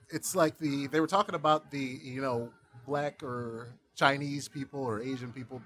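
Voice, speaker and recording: A man's voice: medium pitch, a bit bright. A man hosting a podcast in casual conversation, reflective and supportive toward his guest. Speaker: podcast host.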